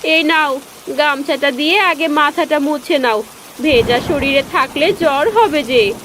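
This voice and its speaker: A woman's voice: high-pitched, a little slow, noisy background. A woman voicing a bossy, scolding village wife and mother in a cartoon. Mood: concerned. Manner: scolding, insistent. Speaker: cartoon voice actor. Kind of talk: Bengali village cartoon dialogue.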